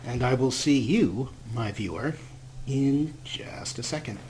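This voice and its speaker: A man's voice: medium pitch, animated, takes it slowly, a little mellow. Chatty man narrating, noisy background. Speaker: let's play host. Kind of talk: casual let's play commentary with some read-out game text.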